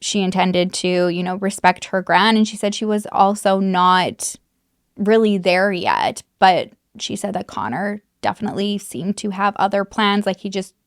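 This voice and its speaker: A woman's voice: mid-range voice, normal speed, no room sound, clean studio sound. A woman recounting a true crime case in a casual, chatty way, full of fillers and the odd swear word. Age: adult mother.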